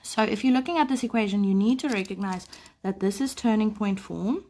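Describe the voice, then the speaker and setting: A woman who is sick teaches a live maths lesson, explaining patiently and clearing her throat often. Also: medium pitch, fairly quick, a little mellow. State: sick, voice a bit off. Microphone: phone camera over paper.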